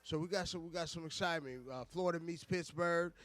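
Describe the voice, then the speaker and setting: Casting: male American radio co-host, a bit higher pitched, casual and slangy, repeats himself. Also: fast, fairly bright tone, dry recording. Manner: repeats phrases, informal slang. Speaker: radio talk show co-host. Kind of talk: casual live radio talk.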